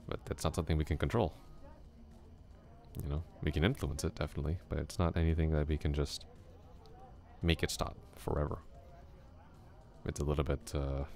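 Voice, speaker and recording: A chatty young male classmate , voiced by a man for an ASMR roleplay, with an extremely deep, low voice. He rambles softly and thoughtfully, musing aloud to the listener. Small chuckles slip into his talk.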